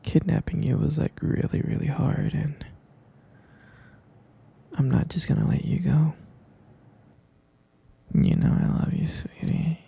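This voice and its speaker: A man playing a possessive, obsessive yandere boyfriend in an ASMR roleplay, coaxing softly and menacingly in a breathy, husky, gravelly whisper, close to the microphone. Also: on the high side, fairly even intonation, heavily acted. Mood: smitten, insistent. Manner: slow, coaxing, cooing with pet names.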